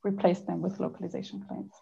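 A woman's voice: fairly low pitch, level tone, fast talker, vocal fry, warm tone, husky, muffled recording. A woman from Germany chatting casually as an interview guest, telling her career story in a rambling way with lots of "like". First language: not French. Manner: chatty, rambling, frequent "like" and laughs.

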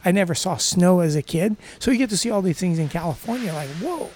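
High-voiced enthusiastic man from Brazil. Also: expressive, fast, darkish tone. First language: Portuguese. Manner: enthusiastic, laughs often, surfer slang. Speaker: guest. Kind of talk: casual interview chat.

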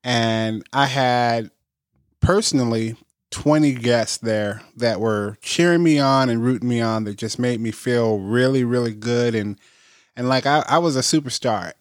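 A man co-hosting a casual podcast, telling stories with enthusiasm and quick restarts. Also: medium pitch, no room sound, clean studio sound. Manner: enthusiastic, storytelling, quick repeats. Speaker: podcast co-host, band bassist.

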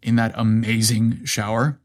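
A man's voice: medium pitch, fairly even intonation, unhurried, close to an everyday voice. A man playing an easygoing, smitten best man in an audio story, speaking casually and candidly. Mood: thrilled.

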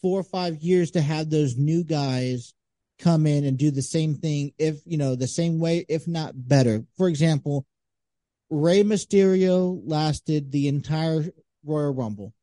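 A male podcast guest with an extremely soft-edged, mellow tone and a slightly high voice. He chats casually about sport, adding emphatic asides as he goes, in a dry recording. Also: measured pace, dry recording. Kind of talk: casual wrestling discussion.

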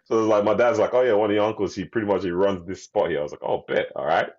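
A man's voice: on the low side, fairly even intonation, fast talker, a little mellow. A man co-hosting a podcast in casual chat, slangy and laughing often, calling his friends bro. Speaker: podcast co-host. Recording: dull lo-fi video call audio.

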